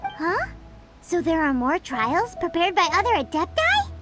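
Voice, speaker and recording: Squeaky, excitable little fairy companion. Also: female voice, measured pace, full character voice. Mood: curious. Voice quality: squeaky, childlike. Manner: exclamatory, wide-eyed. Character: tiny childlike floating fairy companion, excitable and blunt. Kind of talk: English video game voice acting. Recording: loud background music. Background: loud game music.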